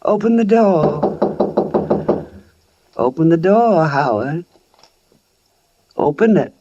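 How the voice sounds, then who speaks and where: A woman's voice: low voice, lively intonation, slow, warm tone, dull audio, exaggerated voice. An American woman playing a frightened, placating housewife in a 1940s radio drama, anxious and pleading. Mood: fearful, coaxing. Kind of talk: 1940s radio drama acting with first-person narration. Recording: vintage radio drama with music behind.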